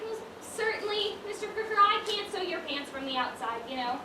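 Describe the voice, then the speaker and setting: High-voiced, brisk shopkeeper lady played by a woman, far off. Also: expressive. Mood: brisk, exasperated. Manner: scolding, emphatic, formal. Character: brisk, exasperated, proper lady dress shop proprietor. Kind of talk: amateur stage play acting. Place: large indoor performance space, far from mic. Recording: distant, echoey stage recording with loud noise.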